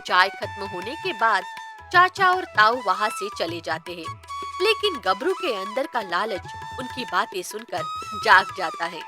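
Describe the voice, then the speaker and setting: A woman tells a cartoon story in a dramatic, sing-song manner. Her pitch sits slightly high and swings widely. Clear music plays behind her. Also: wildly swooping pitch, a little slow. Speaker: narrator. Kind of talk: scripted storytelling narration. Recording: background music.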